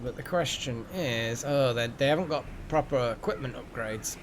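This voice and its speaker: A man's voice: mid-range voice, moderate pace, a little hiss or hum. A man hosting a live game stream, chatting casually and rambling as he thinks aloud. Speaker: game livestream host. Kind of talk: casual live gaming chat.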